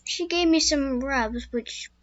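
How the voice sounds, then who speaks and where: A woman voicing a young wild male horse, a skittish loner, telling his own story in first person with a squeaky voice. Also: takes it slowly, no room sound, muffled recording. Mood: wistful. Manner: first-person storytelling with restarts, turning frantic when scared. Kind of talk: amateur spoken story.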